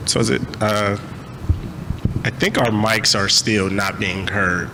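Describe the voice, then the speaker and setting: A man serving as a board member, plainspoken and loud-voiced, making motions and commenting. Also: lowish voice, slow, creaky. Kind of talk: meeting discussion. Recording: noisy meeting room.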